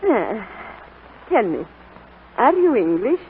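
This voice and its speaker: A woman playing a kindly, devout French grandmother of seventy-seven in a 1940s radio drama, speaking gently and reflectively. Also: on the high side, sing-song, slow, full character voice. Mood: warm, reminiscing. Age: older. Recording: noisy, tinny 1940s radio broadcast.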